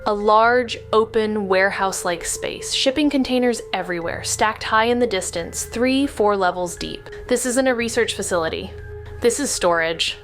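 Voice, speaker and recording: An actress playing a level-headed, analytical amateur detective in a noir audio drama, speaking in a measured, matter-of-fact way. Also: female voice, mid-range voice, soft background music, lightly acted. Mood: grim, focused.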